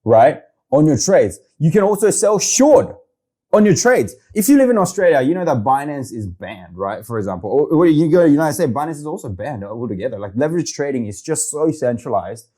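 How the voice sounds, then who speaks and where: A man from Korea, hosting a casual, excitable screen-share walkthrough of a website and saying "okay" a lot. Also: fairly high pitch, brisk. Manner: excitable, chatty, says okay often. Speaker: crypto video host.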